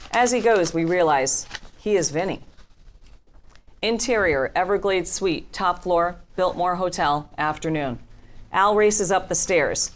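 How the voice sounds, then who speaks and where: A woman's voice: low voice, a little hiss or hum. A woman narrator reading screenplay stage directions at a table reading.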